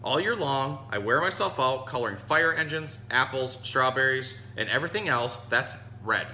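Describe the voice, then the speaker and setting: A man's voice: mid-range voice, animated, normal speed, pushed and bright. A man reading a storybook, voicing a weary, overworked crayon who complains and pleads. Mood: weary complaining. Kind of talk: storybook read-aloud. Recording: echoey room with audible hum.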